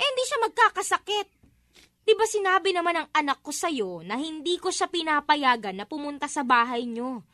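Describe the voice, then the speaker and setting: Woman as a high-voiced protective mother. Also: sharp tone, no room sound, clean studio sound. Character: protective, indignant mother of a young child. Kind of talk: Filipino audio drama acting.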